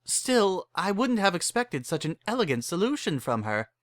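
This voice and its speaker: A narrator voicing a refined, earnest female pony with a genteel, measured and composed manner. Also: mid-range voice, sing-song, no echo, studio quality, pitched above the performer's own voice, close to an everyday voice. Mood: firm.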